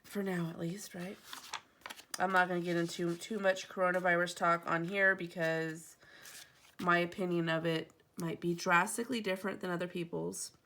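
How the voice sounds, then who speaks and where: Casual home vlogger, woman in her thirties; gravelly, slightly stuffy, wanders off topic. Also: on the low side, level tone, normal speed, bright, forceful tone, a little hiss or hum. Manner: chatty, rambling, digresses often. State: stuffy from allergies. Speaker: planner vlogger. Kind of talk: casual chat while decorating a planner. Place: home, indoors.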